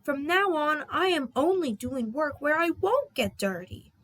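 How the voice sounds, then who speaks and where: A woman's voice: high-pitched, animated, a little slow, a bit bright, no room sound, pitched above the performer's own voice. A woman voicing a whiny, fussy little male steam engine with a huffy, plaintive manner in a children's storybook. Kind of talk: children's storybook read-aloud.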